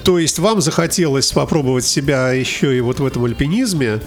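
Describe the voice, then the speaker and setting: A man's voice: mid-range voice, expressive, brisk. A man hosting a chatty, informal interview, throwing in jokey asides as he asks questions. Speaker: interviewer, host. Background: faint music.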